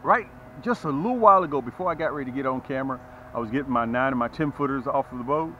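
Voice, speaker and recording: A man hosting a fishing channel, giving a casual, folksy sales pitch to camera and repeating phrases for emphasis. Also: racing, dull audio, slight background noise. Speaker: fishing channel host and tackle seller.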